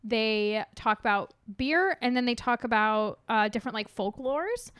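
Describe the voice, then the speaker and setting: A woman in her thirties talks in a casual, giggly, rambling way, often stopping to restart. Her voice is high and smooth, and it sits in a dry, close-miked sound. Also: expressive, a little slow, a bit bright, no echo. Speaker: podcast co-host. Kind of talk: casual chat, reading a listener letter.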